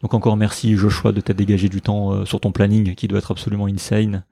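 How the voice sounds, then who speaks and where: A man's voice: fairly low pitch, little pitch movement, fast talker, vocal fry, dark tone, breathy edge, no echo, clean studio sound. A young man hosting a French podcast interview, speaking with enthusiasm and frequent restarts. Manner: enthusiastic, with many fillers and restarts. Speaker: podcast host. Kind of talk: conversational interview and sponsor read. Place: visiting Harvard, Boston.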